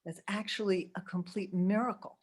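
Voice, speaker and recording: A woman's voice: mid-range voice, fairly even intonation, normal speed. A woman in her seventies giving a holistic health talk over a video call, chatty, laughing often and tossing in asides like "okay?". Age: 70s.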